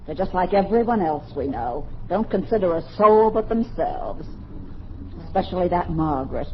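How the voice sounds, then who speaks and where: A woman playing a nagging, sarcastic, domineering wife and mother in an old-time radio comedy, sharp-tongued and complaining. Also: lowish voice, expressive, moderate pace, close to an everyday voice. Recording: muffled, faintly noisy old radio recording.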